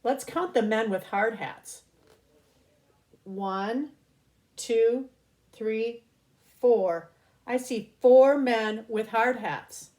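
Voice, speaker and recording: A woman teacher reading a children's picture book aloud in an expressive, child-directed manner, pausing to explain things. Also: on the high side.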